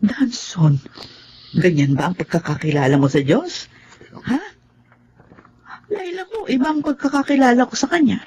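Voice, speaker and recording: An older woman acting in a Filipino drama as a caring, devout grandmother who gently scolds her grown son. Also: on the high side, normal speed, pauses often, slight background noise, close to an everyday voice. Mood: earnest, consoling.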